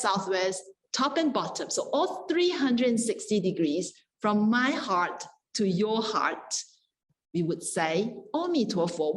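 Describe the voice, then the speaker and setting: A woman panelist explains her ideas at a markedly unhurried pace. Her pitch sits in an average range for a woman, and her delivery is deliberate and articulate, like a scholar laying out a concept step by step. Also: low-quality recording.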